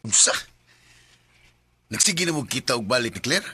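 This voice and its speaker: A man's voice: medium pitch, lively intonation, normal speed, pushed and bright, breathy edge, full character voice. A man playing a concerned, sensible friend who admonishes earnestly in a Bisaya radio drama, with a Cebuano accent. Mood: disapproving. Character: concerned, sensible male friend giving frank advice.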